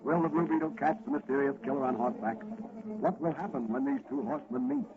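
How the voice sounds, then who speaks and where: An actor narrating a radio serial in a dramatic, suspenseful 1940s style. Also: male voice, mid-range voice, animated, fast, creaky. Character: melodramatic male radio serial announcer. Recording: noisy, tinny old radio recording.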